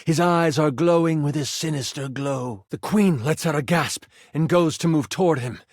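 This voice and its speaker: A man's voice: a little high, a little mellow, no echo, clean studio sound, close to an everyday voice. An actor playing a game master in a video game, a man narrating a tabletop fantasy adventure with theatrical, suspenseful timing. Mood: vivid, suspenseful. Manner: theatrical, suspenseful storytelling with weighted pauses. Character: living twenty-sided die, dramatic male game master.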